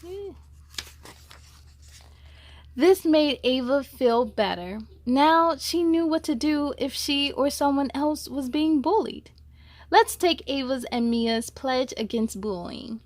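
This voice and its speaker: A woman's voice: high-pitched, expressive, slow, a little mellow, dry recording. A woman hosting a children's story time, reading aloud expressively and speaking to young listeners in an encouraging way.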